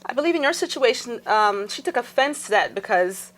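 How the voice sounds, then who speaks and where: Earnest woman giving advice, higher voice. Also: lively intonation, brisk, a bit bright. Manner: earnest, explaining with fillers. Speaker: guest on social media. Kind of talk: casual advice talk.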